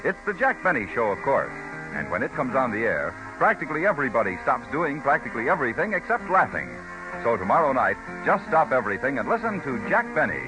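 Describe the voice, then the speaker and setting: An American male announcer in classic 1950s radio style. His medium-pitched voice swings animatedly through scripted copy, with music clearly behind him on a vintage broadcast. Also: big pitch swings, fast, poor audio.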